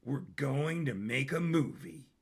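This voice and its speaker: A man's voice: mid-range voice, animated, slow pace, slightly rough, full character voice. A man voicing a bored thirty-year-old prince in an audio story, with slangy, laid-back bro talk. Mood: casually pitching.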